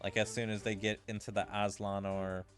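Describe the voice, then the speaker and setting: A man's voice: lowish voice, flat delivery, brisk. A man giving casual, rambling gameplay commentary as a streamer, speculating as he goes with plenty of filler words. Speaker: video game streamer. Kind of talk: casual gameplay commentary with dialogue read aloud. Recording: game music behind the voice. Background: video game music.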